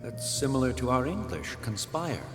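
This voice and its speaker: A man plays a scholarly explorer and cautious father in an audio drama. His delivery is reserved but curious, with lively swings in pitch. Music plays clearly behind him. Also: normal speed, close to an everyday voice. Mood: wondering. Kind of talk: audio drama narration and dialogue.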